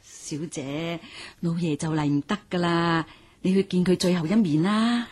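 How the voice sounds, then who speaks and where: An actress as a low-voiced, anxious nursemaid. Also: female voice, fairly even intonation, moderate pace, a little mellow, lightly acted. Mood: urgent, pleading. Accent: Hong Kong Cantonese. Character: anxious, caring older wet nurse, pleading servant. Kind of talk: radio drama acting.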